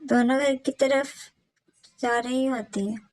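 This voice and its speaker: A woman reading scripted storybook narration for an animated cartoon. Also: high-pitched, slow pace, no room sound, poor audio.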